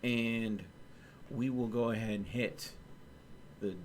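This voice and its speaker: A man's voice: mid-range voice, fairly even intonation, slow pace, a little hiss or hum. Man chatting casually on a game stream. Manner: rambling, thinking aloud. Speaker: game livestream host. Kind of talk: casual livestream chat.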